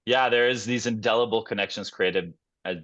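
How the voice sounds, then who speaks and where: A young man, a guest actor on a podcast, telling stories in a relaxed, casual way with many fillers and restarts. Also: lowish voice, a little fast, no room sound, dull audio.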